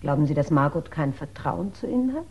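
An actress playing a composed, attentive widow in a 1960s radio crime drama, speaking in a measured way. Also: female voice, low voice, sing-song, darkish tone, husky, exaggerated voice. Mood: concerned, intimate. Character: composed, attentive widow hosting a suspected friend. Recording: dull, band-limited 1960s radio recording.

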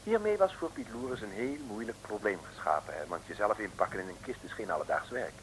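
A man's voice: lowish voice, very animated, quick pace, a bit bright, a little hiss or hum, rough recording. A man narrating a scripted radio serial in a wry, chatty storytelling manner, on a vintage recording.

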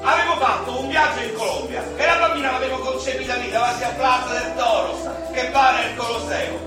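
A man's voice: extremely high pitch, steady tone, fairly quick. A man playing a volatile, foul-mouthed young Roman father who deals drugs, in a colloquial theatrical radio monologue with a Roman Italian accent. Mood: agitated, complaining. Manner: colloquial, crude, emotionally swinging. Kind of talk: theatrical radio drama monologue in slangy dialect. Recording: roomy, distant stage sound with loud music.

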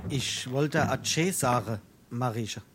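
An actor playing a lovesick, melodramatic young suitor in a dialect comedy, plaintive and earnest, with a Darmstadt accent. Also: male voice, mid-range voice, slow, noisy background, natural voice. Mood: mournful. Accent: Hessian, Darmstadt dialect. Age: young adult.

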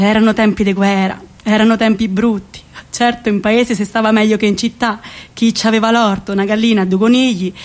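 A woman's voice: medium pitch, pitched below the performer's own voice, close to an everyday voice. Woman acting folksy Roman-accented grandmother. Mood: reminiscing. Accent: central Italian, Roman area. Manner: folksy, dialect storytelling. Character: warm old rural Italian grandmother recalling her youth. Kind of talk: theatrical monologue.

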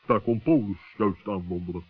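A man's voice: on the low side, brisk, husky. A man playing a pompous, wary owl with wordy phrasing in a children's radio play. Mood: cautious. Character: pompous, wary male owl, friend of gnome. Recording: muffled old narrow-band radio recording.

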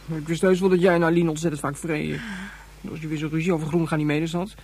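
A man's voice: fairly high pitch, level tone, quick pace, a little mellow, breathy edge. A male actor playing a frightened, accusing young boy in a radio drama, speaking in emphatic, repetitive childlike phrases. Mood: hurt, insistent. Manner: childlike emphatic stacked words, repeating himself.

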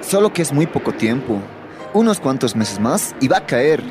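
A man's voice: mid-range voice, very animated, normal speed, music in the background, close to an everyday voice. A man with a Bolivian accent acting an insecure, hesitant teenage boy torn between love and bravado in a radio drama. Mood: hesitant, defensive. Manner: hesitant, slangy.

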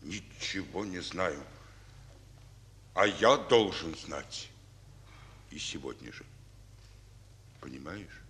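An actor playing a weary, fatherly Soviet army general in a radio drama, speaking in a measured, confiding, weighty way. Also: low voice, lively intonation, fairly bright tone, a little hiss or hum, full character voice. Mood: troubled, grave. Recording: old radio recording, long reverb.

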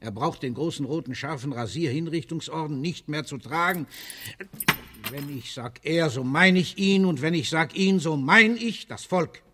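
Haughty, sardonic aging general. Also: male voice, a little high, normal speed, sharp tone, slightly rough. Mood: imperious. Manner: haughty, declamatory, curtly commanding. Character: imperious, sardonic aging general, a self-styled Napoleon. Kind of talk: theatrical radio drama acting.

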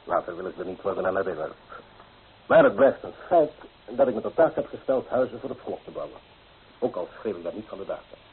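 A man's voice: fairly low pitch, wildly swooping pitch, brisk, gravelly, heavily acted. A man playing an earnest, self-important young architect in a radio play, formal and a little prickly. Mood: defensive, earnest. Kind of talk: Dutch radio play acting. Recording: tinny old radio recording with hiss.

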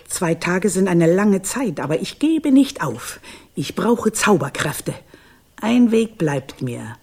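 Husky, haughty old witch grandmother. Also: sits low, darkish tone, exaggerated voice. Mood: grumbling, scheming. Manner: haughty, biting, sardonic. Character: imperious old witch grandmother, scornful and scheming. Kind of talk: German radio play acting.

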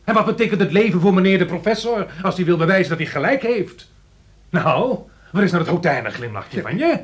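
High, scornful, sarcastic man. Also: animated, brisk. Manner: sarcastic, emphatic, repetitive. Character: bitter, accusing, sarcastic man, scornful and excitable. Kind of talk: Dutch radio play acting.

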